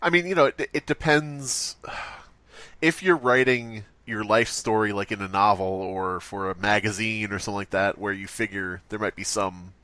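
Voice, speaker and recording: Guy cohosting a podcast, lowish voice, laughs a lot, dead dry room. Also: fairly even intonation, moderate pace, pushed and bright, no echo. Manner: laughs a lot, trails off mid-sentence. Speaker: podcast co-host. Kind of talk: casual chat and story reading.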